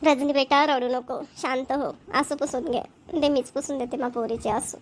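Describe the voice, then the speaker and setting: Woman voicing a high-pitched, fretful village farm wife. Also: steady tone, moderate pace, slight background noise, lightly acted. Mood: doting, tearful. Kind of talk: Marathi village cartoon comedy dialogue.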